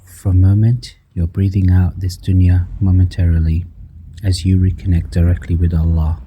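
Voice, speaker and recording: A man guiding a breathing meditation in a slow, soft-spoken manner, counting the breaths aloud and dropping some counts to a whisper. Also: sits low, little pitch movement, muffled recording, slight background noise. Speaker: meditation guide.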